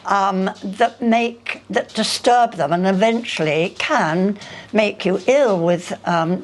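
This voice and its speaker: Older woman, slow and halting. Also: medium pitch, a little hiss or hum. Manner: hesitant, halting, searching for words. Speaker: speaker at a public conversation. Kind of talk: unscripted talk answering questions.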